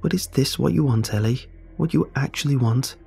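A man's voice: mid-range voice, moderate pace, vocal fry, warm tone, husky, faint music behind, voice pushed up. A man voicing an earnest young man who pleads desperately with a friend. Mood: pleading.

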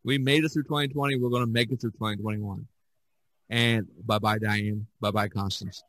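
A man hosting a casual podcast discussion, speaking in halting, hesitant phrases. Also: fairly low pitch, steady tone, a bit bright, dry recording. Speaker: podcast host.

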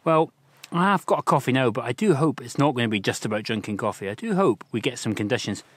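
A man's voice: mid-range voice, expressive, fast, dry recording. A man in his forties hosting an outdoor vlog on a hilltop, talking to a camera held at arm's length in a chatty, upbeat, self-mocking way. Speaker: vlog host, landscape photographer. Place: open windy hilltop outdoors.

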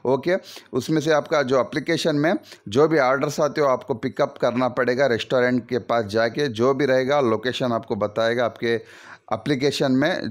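A man hosting a job-vacancy channel, talking casually over adverts, chatty and repetitive, with frequent fillers and advice to the viewer. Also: mid-range voice. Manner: chatty, repetitive, advisory, with many fillers like "okay".

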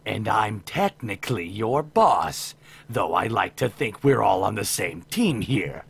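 A man playing a gruff, exasperated but friendly young workshop boss in a visual novel, with a gravelly, husky voice. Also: fairly low pitch, sing-song, a little slow, full character voice. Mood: wry, friendly. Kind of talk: visual novel character acting.

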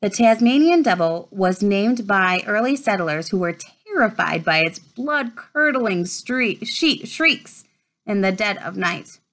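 A woman in her thirties reading a children's nature book aloud at home, with measured storytime phrasing. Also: medium pitch, a bit bright, low-quality recording. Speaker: read-aloud presenter. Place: small furnished room at home, indoors.

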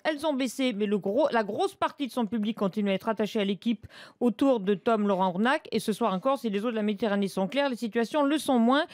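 A woman's voice: a little high, darkish tone, no room sound. A middle-aged woman giving a scripted radio review with opinionated, emphatic asides. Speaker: radio TV critic and columnist. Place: radio studio. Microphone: close studio microphone.

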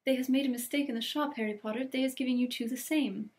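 Brief: fretful, eager male elf, squeaky and broken grammar, voiced by a woman reader. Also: fairly even intonation, fast talker, a little mellow, close to an everyday voice. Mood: delighted. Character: anxious eager male house elf, small.

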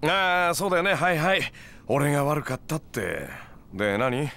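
A man's voice: on the high side, very animated, normal speed, bright, forceful tone, heavily acted. An actor playing a laid-back, evasive moneylender in his thirties in a video game, drowsy and sheepish, mumbling his excuses. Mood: sheepish, placating. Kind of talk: video game cutscene acting.

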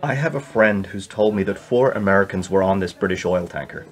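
Man playing a low-voiced, probing political insider. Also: a little fast, slightly rough. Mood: suspicious, probing. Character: probing, suspicious male political colleague. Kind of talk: conversational radio play acting.

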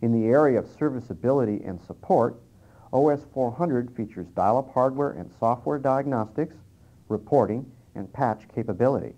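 A man's voice: mid-range voice, normal speed, dark tone. A man presenting scripted instructional material on an old cassette tape. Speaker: presenter and interviewer. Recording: dull early-1990s cassette tape transfer.

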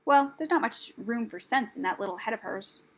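A small, cheeky brownie girl performed by a woman with a high, husky voice. She is blunt and sarcastic, a sharp-tongued storybook creature with a short temper. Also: animated, moderate pace. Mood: scornful, impatient. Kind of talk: audiobook character voice.

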